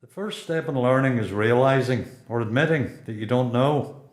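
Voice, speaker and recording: A man playing a thoughtful prisoner in a radio play, delivering a measured, philosophical monologue about himself. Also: mid-range voice, echoey room, natural voice. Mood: reflective. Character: thoughtful male prisoner musing on learning.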